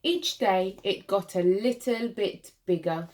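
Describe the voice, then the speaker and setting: A woman reading a children's picture book aloud like a primary school teacher, expressively, with deliberate dramatic pauses and emphasis for young listeners. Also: medium pitch, a little mellow.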